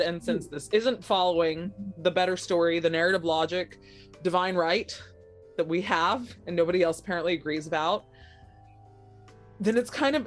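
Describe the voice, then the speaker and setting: A woman playing a tabletop roleplaying game, talking casually about her character in an emphatic, wry way. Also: lowish voice. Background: music.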